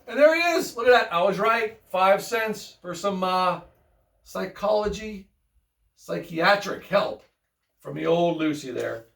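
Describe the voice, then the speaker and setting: A man's voice: high voice, lively intonation, a little slow, vocal fry, a bit bright. A man reading a children's picture book aloud in a folksy, playful way, adding chatty asides and repeating himself. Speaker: bedtime story reader. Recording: roomy, echoey, distant microphone.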